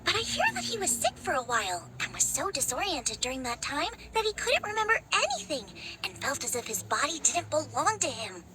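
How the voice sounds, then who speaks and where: Very high, bubbly teenage girl. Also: big pitch swings, fairly quick, hardly any pauses, very noisy. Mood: earnest, friendly. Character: bubbly, earnest teenage girl, excitable and friendly. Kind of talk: animated video game voice acting. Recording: loud game music behind the voice. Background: game music.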